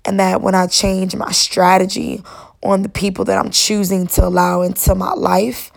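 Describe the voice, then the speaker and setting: A young woman hosting a solo podcast, her voice raspy and worn, talking in a casual, chatty, encouraging way. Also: mid-range voice, flat delivery, normal speed. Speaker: podcast host.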